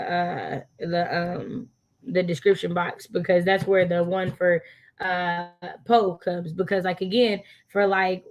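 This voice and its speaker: A woman in a casual book discussion, speaking in an opinionated, emphatic way and repeating points for emphasis. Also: on the low side. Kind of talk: casual live discussion of classic books.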